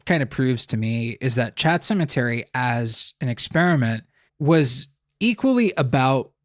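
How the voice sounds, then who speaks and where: A man speaks as a podcast co-host in a relaxed, analytical book conversation. His pitch is average, and he works through thoughts aloud, doubling back on words. The sound is dry and close. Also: normal speed, dry recording. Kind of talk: casual analytical book discussion.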